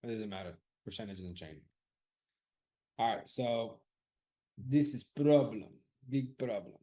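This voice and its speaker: A man's voice: medium pitch, expressive, a little slow, warm tone. A man running a live audience poll about class times, casually thinking aloud, loose and profane, often repeating himself.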